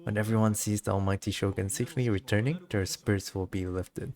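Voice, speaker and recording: A man's voice: on the low side, fairly even intonation, brisk, darkish tone, natural voice. A man voicing a loyal, steadfast samurai soldier from a game, earnest and formal. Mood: resolute.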